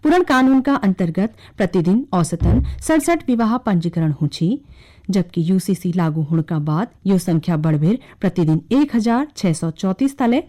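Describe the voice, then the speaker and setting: A woman's voice: mid-range voice, warm tone. A woman with a Kumaoni accent reading a scripted regional radio news bulletin.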